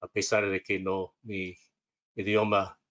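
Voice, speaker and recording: Low-voiced man, hesitant. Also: little pitch movement, slow pace, a bit bright, lo-fi sound. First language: English. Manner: hesitant, self-correcting, reading from notes. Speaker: instructor. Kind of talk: webinar lecture.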